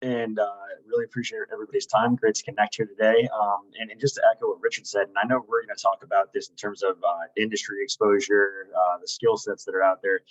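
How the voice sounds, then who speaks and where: A man speaking as an executive search consultant on a webinar, relaxed and conversational. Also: lowish voice, fast, hardly any pauses. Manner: relaxed, conversational, many fillers. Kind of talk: webinar presentation. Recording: lo-fi video call audio.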